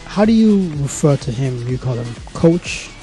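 A man's voice: mid-range voice, big pitch swings, normal speed, mellow, faint music behind, rough recording. A man asking sports interview questions in a friendly, conversational way into a handheld mic. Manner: friendly, conversational, hesitant fillers.

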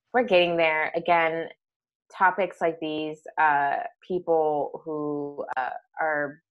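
Lower-voiced woman podcast guest. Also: muffled recording. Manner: thoughtful, hesitant, many fillers. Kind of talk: casual conversational interview.

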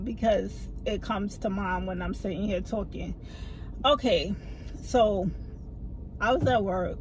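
Middle-aged woman vlogger, casual storytime from her car seat, low voice, expressive. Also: slight background noise, poor audio. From family from the American South. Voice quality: low voice that people find hard to hear. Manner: animated, talks with her hands. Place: parked car, inside. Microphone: phone camera mounted in front of her.

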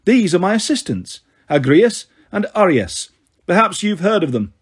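A man voicing a smug, smooth-talking college-age villain in a storybook, with a taunting manner. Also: sits high, sing-song, moderate pace, pitched above the performer's own voice, exaggerated voice. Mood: smug, mocking. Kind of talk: storybook character voice.

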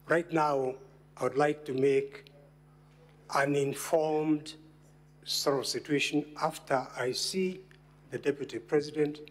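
An older man with a Kenyan English accent giving a formal submission as senior counsel before parliament. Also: mid-range voice. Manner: unhurried, formal address. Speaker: defence counsel. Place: large parliamentary chamber. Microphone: desk microphone.

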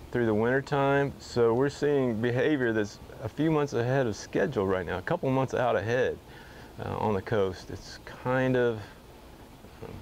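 A middle-aged man, a fly fishing guide, seated in his workshop, giving a casual weekly fishing report to camera with frequent pauses. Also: medium pitch, muffled recording, a little hiss or hum. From Texas. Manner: relaxed, easygoing, with frequent "uh" pauses. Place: indoor garage-like workshop bar, seated at a table.

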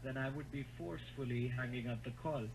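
A man's voice: mid-range voice, fairly even intonation, brisk, creaky. A man, speaking firmly and formally as a technical support agent on a phone call. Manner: firm, formal.

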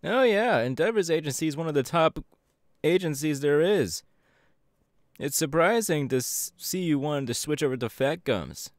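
A man's voice: a little high, moderate pace, no echo, studio quality, close to an everyday voice. A man playing an upbeat, easygoing young electric superhero in a casual, chatty audio roleplay. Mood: friendly, chatty. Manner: casual, animated, hesitant with fillers. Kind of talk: audio roleplay, chatty anime character acting.

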